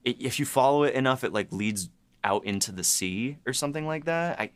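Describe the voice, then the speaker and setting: A man's voice: mid-range voice, lively intonation, a little fast, no room sound. A man talking casually and enthusiastically as a host and writer in a behind-the-scenes chat. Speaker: podcast host and episode writer.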